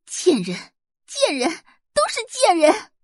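A woman's voice: very high voice, huge pitch swings, slow pace, dry recording, clean studio sound, voice pushed up, heavily acted. A woman voicing a haughty, spiteful, scheming young woman in an audio drama, scornful and melodramatic. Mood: furious.

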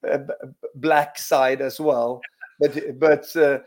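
A man's voice: medium pitch, steady tone, slow. Hesitant Swedish-accented man. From Sweden. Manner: hesitant, many restarts and "you know" fillers. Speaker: former footballer, guest. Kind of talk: relaxed interview answers.

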